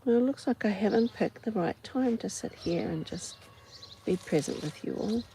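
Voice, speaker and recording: A woman with a New Zealand accent musing aloud in short unhurried phrases with pauses, in an unscripted personal ramble recorded outdoors. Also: medium pitch, animated, creaky, warm tone, slight background noise, rough recording. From Auckland, New Zealand. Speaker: host of a personal ramble vlog. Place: outdoors on a farm doorstep. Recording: outdoor ambience, buzzing flies and insects. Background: flies, insects, farm animals.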